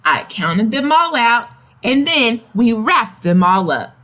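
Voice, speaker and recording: Woman doing a kids storybook read aloud, slow and really animated, explains stuff to the little ones. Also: on the high side. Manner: expressive, explaining, addressing children directly. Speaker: children's story reader. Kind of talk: animated read-aloud of a picture book with asides to young listeners.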